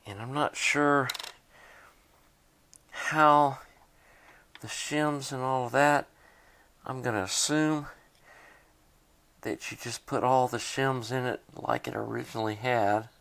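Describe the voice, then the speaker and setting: Man, mid-pitched voice, casual repair demo, plainspoken, unscripted, thinking aloud. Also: slow, vocal fry, pauses often, a bit bright. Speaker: hobbyist demonstrating a tape deck repair. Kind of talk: casual hands-on repair narration.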